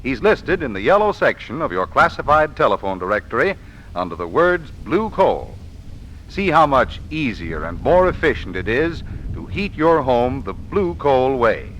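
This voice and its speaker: A man's voice: mid-range voice, sing-song, normal speed, a bit bright, a little hiss or hum, rough recording. A man reading a scripted 1940s radio advertisement as an expert spokesman, folksy and persuasive, on an old broadcast recording.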